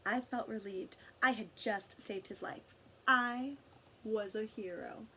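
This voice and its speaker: A woman reading a picture book aloud expressively to children, pausing to guide them with questions. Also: mid-range voice, bright, forceful tone, slight background noise. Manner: pauses to question and guide young listeners. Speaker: read-aloud storyteller for children.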